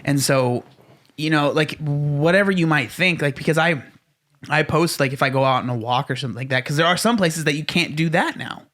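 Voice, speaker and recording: A man's voice: a little high, fairly quick, fairly bright tone. A young man co-hosting a casual podcast, talking in loose rambling sentences full of "like" fillers. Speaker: podcast co-host. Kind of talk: casual chat.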